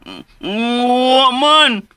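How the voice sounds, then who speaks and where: Very high, stammering, childlike simpleton. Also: male voice, sing-song, bright, forceful tone, clean tone, exaggerated voice. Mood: innocent, concerned. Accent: Filipino, Cebuano. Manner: halting, stammering, repeats words. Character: simple childlike male companion, naive and good-hearted. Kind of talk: Philippine radio drama acting.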